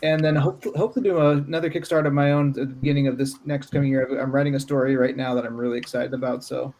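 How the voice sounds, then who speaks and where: A man's voice: medium pitch, steady tone, quick pace, a little mellow. A man speaking casually as a guest creator on a livestream, plugging his work. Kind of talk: casual self-promotion on a livestream.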